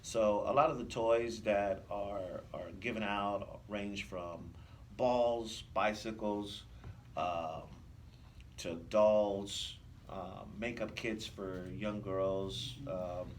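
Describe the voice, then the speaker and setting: An American man speaking as a police officer guest in a radio interview, explaining things in a measured, conversational way. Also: lowish voice, steady tone, distant mic. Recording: faint noise, off-mic room sound.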